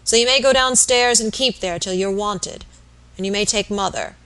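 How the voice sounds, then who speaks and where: A coarse, dying English innkeeper, acted out by a woman reader, growls hoarsely in rustic dialect. He is surly and bullying, pushing his words out louder and higher, as a storybook villain would. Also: moderate pace, close to an everyday voice, poor audio. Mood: surly, commanding. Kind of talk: audiobook character voice.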